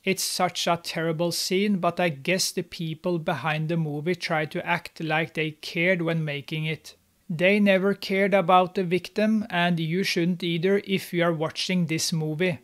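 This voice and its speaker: A young man with a fairly high voice narrates a film recap, steady and scripted. His tone stays snarky and mocking as he pokes fun at the characters. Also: a little slow. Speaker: movie recap host. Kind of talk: sarcastic movie recap narration. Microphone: studio mic, on camera.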